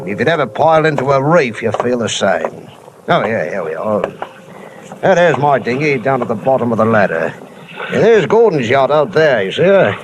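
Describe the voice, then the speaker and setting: An actor playing a garrulous, hard-drinking old waterfront sailor who talks in folksy nautical slang, in a 1950s radio drama. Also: male voice, mid-range voice, sing-song, moderate pace, lightly acted. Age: older. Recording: hissy old radio broadcast.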